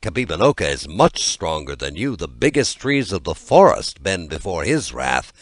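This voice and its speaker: A man's voice: low voice, big pitch swings, normal speed, no room sound. A man voicing worried fishermen in a storybook, giving urgent, earnest warnings. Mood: anxious warning. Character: worried fishermen urging a comrade to flee. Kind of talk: storybook character reading.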